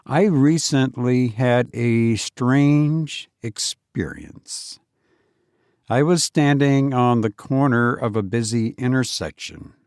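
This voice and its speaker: A male podcast host talks slowly and informally, as if telling a story of his own. His delivery is halting and hesitant, with a mid-range pitch. The sound is close and dry. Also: a little mellow, clear, resonant tone, no echo. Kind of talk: informal podcast hosting and first-person storytelling.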